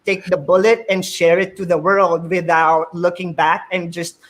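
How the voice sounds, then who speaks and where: A man's voice: high-pitched, flat delivery, moderate pace, a bit bright. A man with a Filipino accent, a math teacher and a native Filipino speaker, earnestly telling his story as a panel guest on a video call, often repeating words as he thinks. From Philippines.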